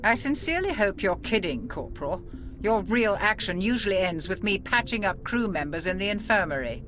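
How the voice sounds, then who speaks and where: A woman acting a cautious, sensible military doctor in a video game, speaking in a measured, reproving, matter-of-fact way. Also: mid-range voice, sing-song, lightly acted. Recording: loud music behind the voice. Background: music.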